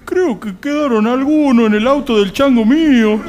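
A man's voice: extremely high pitch, normal speed, a little mellow. A man playing a sheepish, evasive Argentine political official on the run in a satirical radio sketch, mumbling indistinctly. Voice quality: muffled, poorly articulated.

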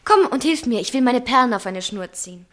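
A woman's voice: a little high, lively intonation, a little fast, a bit bright. An actress playing a vain, dreamy and callous young woman in a 1960s German radio play, with theatrical, musing phrasing. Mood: inviting. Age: young adult. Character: vain, dreamy, callous young innkeeper's daughter longing for luxury.

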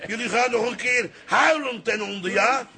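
A man's voice: very high voice, moderate pace, bright, forceful tone, heavily acted. An actor playing an older father behind the bar in a radio play, a melodramatic grumbler. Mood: agitated, gloomy. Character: grumbling, doom-mongering older Amsterdam father and barman. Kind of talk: radio drama acting.

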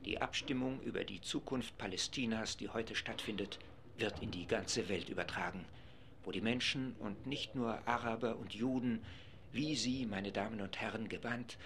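A man acting as a 1940s radio reporter calling a live assembly session, his voice lowish and steady, with a faint hiss under it. He describes the hall and the delegates as things happen. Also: natural voice. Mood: measured. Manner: brisk, informative, narrating events. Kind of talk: live broadcast commentary, radio play.

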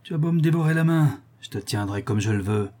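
A man voicing a cruel, vengeful criminal brute with a slow, hollow, menacing delivery in a storybook reading. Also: medium pitch, expressive, darkish tone, close to an everyday voice.

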